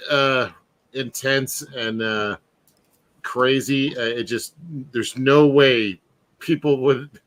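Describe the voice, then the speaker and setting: A man's voice: medium pitch, fairly even intonation, takes it slowly, a bit bright, no echo. A man co-hosting a casual chat, joking around and rambling with lots of filler words. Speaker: co-host. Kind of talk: casual chat on a streamed art show.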